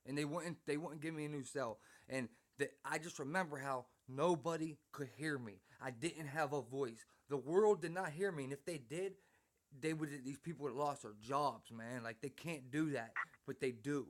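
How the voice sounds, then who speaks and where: A man's voice: a little high, moderate pace. Casual, slangy American man, emphatic and rambling. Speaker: host and interviewer, former prisoner. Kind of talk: casual, slangy chat with frequent "bro" and "man".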